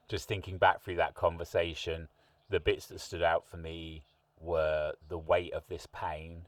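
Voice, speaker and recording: A man's voice: sits low, dry recording. A middle-aged man hosting a podcast, talking in a thoughtful, musing way with long rambling sentences. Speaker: podcast host. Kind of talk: reflective interview chat. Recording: distant mic, dry sound.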